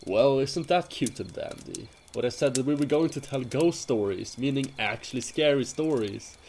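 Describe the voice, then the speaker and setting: A man's voice: mid-range voice, lively intonation, normal speed, gravelly, a little mellow. A man playing a brash, teasing hunter who leads his companions in a fantasy audio drama, bantering theatrically. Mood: sarcastic. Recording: noisy track with cricket ambience. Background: crickets and night insects.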